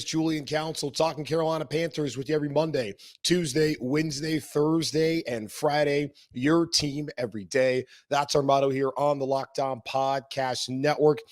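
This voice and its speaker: A hoarse man hosting a sports podcast, talking in a casual, rambling way. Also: fairly high pitch, dry recording, studio quality. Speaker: podcast host.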